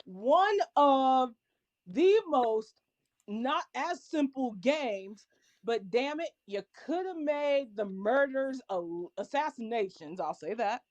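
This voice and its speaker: A woman with a fairly high voice in a dry, close recording. She talks like a podcast panelist in a heated chat, opinionated and blunt, leaning hard on her points. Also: expressive, takes it slowly, no echo. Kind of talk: heated casual group chat.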